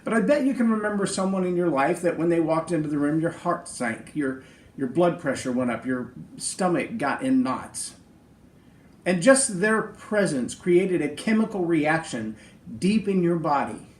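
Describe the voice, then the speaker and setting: Middle-aged male podcast host, big high-set voice, earnest and emphatic, roomy echo. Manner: earnest, emphatic, speaks in lists. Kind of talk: motivational leadership talk.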